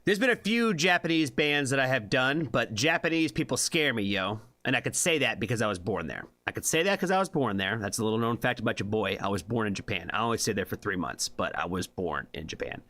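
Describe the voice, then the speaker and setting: A man's voice: mid-range voice, lively intonation, fairly quick, hardly any pauses, pushed and bright. A man hosting a music reaction livestream, talking loosely and swearing freely, with lots of fillers and a habit of asking "you know what I mean?". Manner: laid-back, rambling with many fillers. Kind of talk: casual livestream chat.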